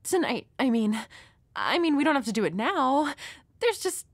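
A woman's voice: high voice, sing-song, normal speed, no room sound. A woman playing a shy, flustered young woman next door, nervous and hesitant, in an intimate romantic audio roleplay. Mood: nervous, hopeful. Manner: nervous, hesitant, stammering, giggly.

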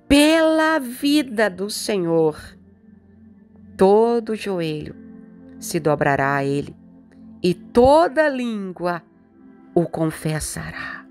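A middle-aged woman bishop with a Brazilian Portuguese accent, preaching a devotional in a slow, earnest, pleading way, as a voice-over with soft music beneath. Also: mid-range voice.

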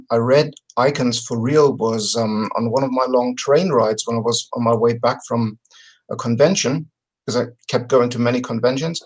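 A middle-aged man explaining and telling his story casually as a podcast guest, in halting, measured phrases from a home video call. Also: mid-range voice, rough recording. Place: home room with bookshelves. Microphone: video call, webcam distance.

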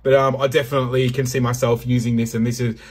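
A man talks through a product in an informal, chatty review style. His voice sits at a middling pitch with a noticeably husky edge. The wording is loose and unscripted. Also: little pitch movement, fast talker, darkish tone. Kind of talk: casual unboxing review.

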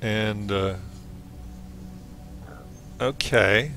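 A man with a hoarse voice giving relaxed, playful let's play commentary as he explores a game. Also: lowish voice, drawn-out words. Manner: relaxed, musing, playful asides. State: hoarse, losing his voice. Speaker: game streamer.

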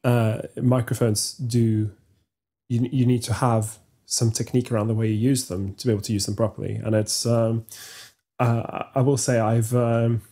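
A young man whose first language is Norwegian, with a mellow, warm tone at a middling pitch. He explains things over a video call from a small room at home, measured and a little hesitant. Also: flat delivery. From Norway. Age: young adult. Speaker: podcast co-host, singer. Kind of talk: casual chat. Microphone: headphones with studio mic on video call.

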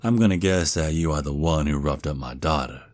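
A man's voice: extremely low pitch, fairly quick, dry recording. A man playing a menacing, mocking, protective werewolf father with a Southern US accent, drawling and taunting in an ASMR roleplay. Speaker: audio roleplay performer.

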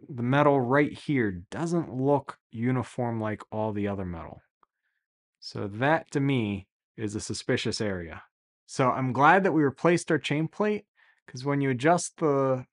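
Relaxed man chatting about boat repairs. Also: mid-range voice. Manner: relaxed, enthusiastic, many "really" and "uh" fillers. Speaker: sailing vlogger explaining boat repairs. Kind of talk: casual explanatory talk to camera. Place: small office indoors.

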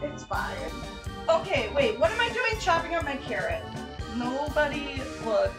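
Woman, 30s, chaotic home cook, swearing, self-mocking, slow lively high voice, roomy kitchen, music behind. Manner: chaotic, swearing, laughing at herself. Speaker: streamer cooking along with a friend. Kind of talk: casual livestream chat. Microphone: fixed camera across the kitchen.

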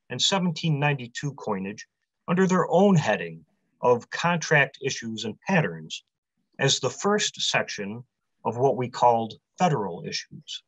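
Casting: man in his forties, steady prepared talk, dry, slightly dull webcam sound. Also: medium pitch, dry recording. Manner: measured, list-heavy delivery. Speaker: publisher presenting a convention talk. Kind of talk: prepared talk read aloud. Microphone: webcam on a video call.

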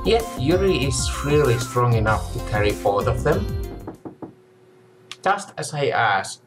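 A young man reading visual novel narration and dialogue aloud expressively, stumbling now and then, with game music behind. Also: medium pitch. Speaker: let's play streamer and fan dubber.

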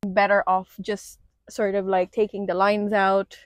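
A woman's voice: medium pitch, level tone, normal speed, dry recording, clean studio sound. A woman chatting casually for a vlog, recorded outdoors on a sailboat, laughing often and using many fillers. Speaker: vlogger. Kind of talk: casual chat.

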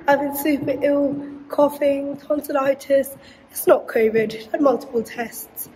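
A woman's voice: sits high, darkish tone, a little hiss or hum, rough recording. A young woman in her twenties, a dental student, chatting casually to a phone held at arm's length in her car and bedroom, her voice still recovering from illness. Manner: chatty, rambling, self-deprecating. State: recovering from lost voice. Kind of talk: casual vlog chat to camera. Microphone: phone camera at arm's length.

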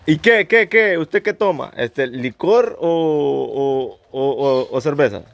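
A man's voice: mid-range voice, lively intonation, takes it slowly, gravelly. A man with a Salvadoran accent hosting a casual outdoor vlog, teasing and prying with his questions. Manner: playful, prying. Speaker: vlog host asking questions behind the camera. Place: outdoors under a tin-roofed shelter by a fence.

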